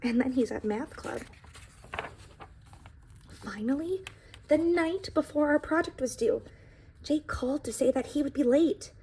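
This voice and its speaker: A woman's voice: sits high, measured pace, long pauses, a little mellow, a little hiss or hum. A woman teacher reading a picture book aloud to young children, expressively, with explanatory asides addressed straight to her listeners.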